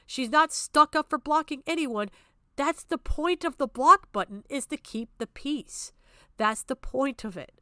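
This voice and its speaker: A woman's voice: high-pitched, measured pace, pushed and bright, no echo, clean studio sound. A woman streamer giving heated off-the-cuff commentary, blunt and emphatic, swearing freely and repeating herself to make her point. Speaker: streamer reacting to a recorded stream.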